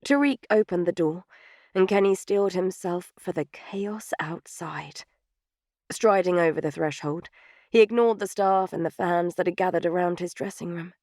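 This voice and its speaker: Female audiobook narrator: slightly low voice, scripted storytelling, close and dry studio sound. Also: normal speed, a little mellow, no room sound. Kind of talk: scripted third-person fiction narration.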